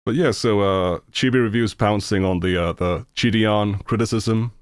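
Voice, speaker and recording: A man's voice: lowish voice, steady tone, clean tone, no echo, studio quality. A man from Finland with a Finnish accent, speaking English as a second language and giving a blunt, rambling reaction commentary full of fillers. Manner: rambling, halting with many fillers, blunt and profane. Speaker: streamer reacting to videos.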